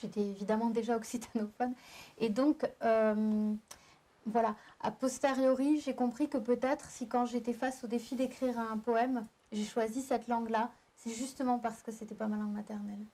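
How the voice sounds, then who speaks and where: A young adult woman from southern France, a poet speaking at a live round-table discussion in a thoughtful, halting way with frequent restarts. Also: fairly high pitch, steady tone, dark tone. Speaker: poet and panel guest. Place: live public venue.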